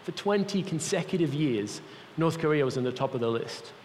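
A man's voice: fairly high pitch, expressive, brisk, darkish tone, slight background noise. A young man with a Swiss accent giving an earnest, personal sermon as a guest speaker for a mission group in a church. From Switzerland. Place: large church hall. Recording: long reverb of a church hall.

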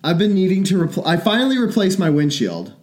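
A man, a little sick and raspy, co-hosting a podcast and telling rambling, self-mocking stories. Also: high-pitched, very animated, fairly quick, a little mellow. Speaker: podcast co-host. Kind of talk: casual podcast chat.

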